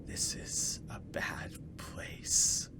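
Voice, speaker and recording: A man voicing a trapped ghostly younger sister in a visual novel, weak, pleading and whispery, with slow halting delivery. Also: bright, forceful tone, heavily acted. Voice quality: whispery, breathy.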